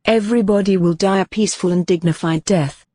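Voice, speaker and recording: A man playing a solemn emergency broadcast announcer, reading formally in a measured way with long pauses. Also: high voice, dark tone, no room sound, studio quality, lightly acted.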